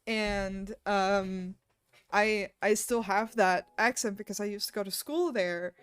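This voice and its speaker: A woman's voice: mid-range voice, slow, clear, resonant tone. Casual woman streamer, laughing. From Netherlands. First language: Dutch. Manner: laughs often, swears casually. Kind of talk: casual livestream chat while working.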